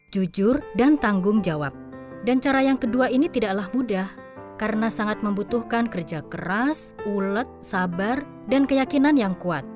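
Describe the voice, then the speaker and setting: A woman reading scripted storytelling narration for an audio drama. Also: mid-range voice. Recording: loud music behind the voice. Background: music.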